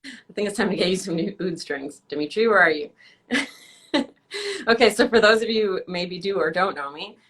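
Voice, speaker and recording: A young woman hosts a casual live-stream interview with a bright, ringing voice. She teases her guest playfully and keeps breaking into laughter. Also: medium pitch, animated, moderate pace, slightly rough. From Canada. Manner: teasing, laughs often. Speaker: host.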